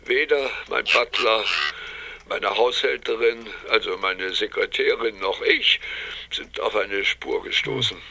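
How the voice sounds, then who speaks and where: Pompous, irascible professor, creaky and sharp. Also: male voice, lowish voice, measured pace, exaggerated voice. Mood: agitated, indignant. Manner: pompous, snappish, lecturing. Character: irascible, self-important male professor, manuscript researcher. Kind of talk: German audio drama acting.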